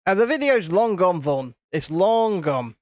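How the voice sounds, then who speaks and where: A British man with a naturally high-pitched voice, co-hosting a live stream, teasing and swearing in casual banter while reading chat aloud. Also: very animated, a little slow, no echo. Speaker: co-host of a live build stream.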